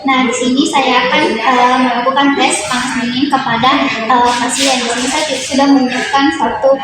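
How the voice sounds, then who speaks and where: A young woman with a high voice talks through a practical demonstration haltingly, one step at a time. She sounds distant from the microphone in a very echoey room, with loud music behind her. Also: little pitch movement. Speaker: physiotherapy student demonstrating a treatment. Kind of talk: instructional demonstration.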